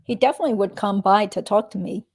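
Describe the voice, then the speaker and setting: A woman acting as a female witness under questioning, with a soft, mellow tone and a mid-range voice. She answers carefully and commits to little, as in a dramatic reading of a deposition. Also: medium pitch, fast talker, creaky, husky. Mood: guarded. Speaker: reader playing a deposition witness.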